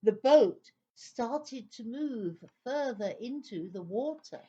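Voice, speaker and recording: A woman narrating a scripted children's story in an expressive, dramatic way. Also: on the high side, takes it slowly, breathy edge, highs cut off.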